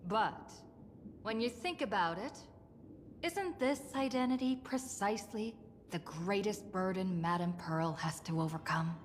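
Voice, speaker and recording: A woman playing a poised, shrewd young woman in a video game, with a deliberate, dramatic and pointed delivery. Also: medium pitch, expressive, a little hiss or hum, lightly acted. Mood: coolly provocative. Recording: long reverb, faint music behind.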